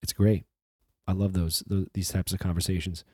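A male podcast host from the restaurant industry, talking casually and rambling with restarts and swearing, his voice a bit hoarse as he recovers from the flu. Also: low voice, little pitch movement, moderate pace, a little mellow, no echo, clean studio sound. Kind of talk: casual solo intro monologue.

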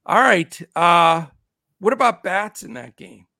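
Gravelly man losing his voice. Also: high-pitched, sharp tone, dry recording, clean studio sound. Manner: rattles off numbers quickly, chatty. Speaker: podcast host. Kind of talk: casual sports betting podcast talk.

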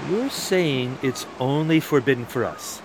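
Man acting a probing challenger, lively intonation. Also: medium pitch, unhurried, noisy background, lightly acted. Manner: questioning, challenging. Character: probing, persistent man from across a wall. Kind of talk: one-act audio play acting.

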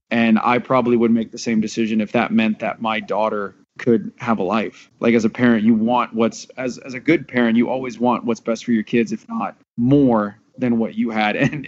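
Male panelist, medium pitch, earnest and thoughtful, casual delivery, muffled lo-fi recording. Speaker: audio drama cast member. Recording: dull lo-fi sound.

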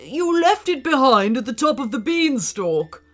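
A woman's voice: high voice, very animated, measured pace, soft background music. A woman voicing a big male wolf in a children's story, out of breath, hesitant and very polite. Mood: relieved, friendly. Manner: courteous, hesitant.

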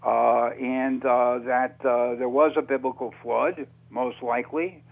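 A man speaking as an interview guest on radio, unscripted, halting and often repeating words as he searches for phrasing. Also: medium pitch, steady tone, vocal fry, poor audio. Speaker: interview guest, author on UFO topics.

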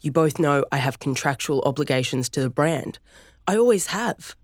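A woman reads a middle-aged father in an audiobook, indignant and defensive. Her voice stays low and dry, close to the mic. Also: animated, normal speed, no echo.

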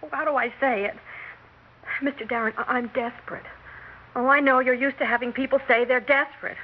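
A woman's voice: sits high, fairly even intonation, fairly quick, close to an everyday voice. A young woman playing a persistent, talkative aspiring actress, earnest and flustered, in 1960s radio drama style. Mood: desperate. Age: young adult. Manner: earnest, flustered, stammering plea. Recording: dull vintage radio recording with hiss.

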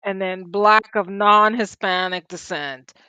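A woman's voice: mid-range voice, fairly even intonation, slow pace, bright, forceful tone, smooth, dry recording, highs cut off. A Cuban woman co-hosting a podcast, chatting casually and expressively with frequent exclamations. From Cuba. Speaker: podcast co-host. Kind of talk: casual conversation.

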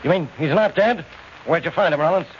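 Male actor as police inspector, 1940s radio drama, blustering, highish voice, muffled old recording. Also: expressive, brisk, a little hiss or hum, natural voice. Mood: puzzled. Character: gruff, blustering, easily rattled police inspector.

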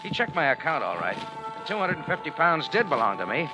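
An actor playing a wry, tough cafe owner in 1940s radio noir, clipped and hardboiled. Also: male voice, on the high side, sing-song, a little fast, low-quality recording. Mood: hardboiled narration. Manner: dry, wisecracking, clipped hardboiled delivery. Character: wry, tough male cafe owner narrating his adventure. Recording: old radio broadcast with music behind.

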